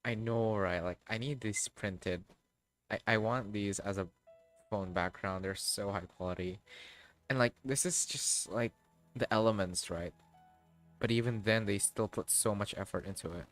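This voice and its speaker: A man giving casual, halting livestream commentary on a game, rambling and often trailing off mid-thought. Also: fairly low pitch, fairly bright tone. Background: background music.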